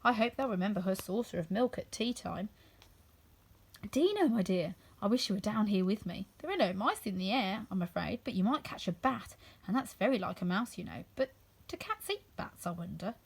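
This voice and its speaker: A woman's voice: mid-range voice, expressive, moderate pace, vocal fry, husky. A woman playing a curious, chatty young storybook girl who wonders aloud to herself. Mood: fond musing. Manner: wondering, self-questioning.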